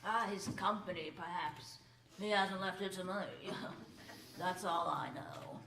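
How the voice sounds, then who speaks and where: An actor playing a sardonic, gossiping merchant in a stage radio play. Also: male voice, high voice, measured pace, pushed and bright, exaggerated voice. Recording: noisy, roomy stage, distant mic.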